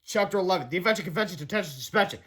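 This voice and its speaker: A man reads a children's book aloud. His voice is clearly high for a man, and the delivery is scripted storybook narration. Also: animated, very fast, a bit bright. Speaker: storybook reader.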